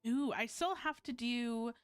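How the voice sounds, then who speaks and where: A woman's voice: fairly high pitch, unhurried, smooth, no echo. A woman chatting casually on a game livestream in a rambling, self-correcting way. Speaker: VTuber game streamer. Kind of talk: casual livestream chat while gaming.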